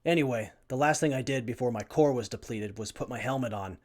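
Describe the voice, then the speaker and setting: A man's voice: mid-range voice, fairly quick, a little mellow, close to an everyday voice. A man playing an earnest, brainy young survivor who tinkers with armor, in a post-apocalyptic audio drama. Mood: weary, earnest.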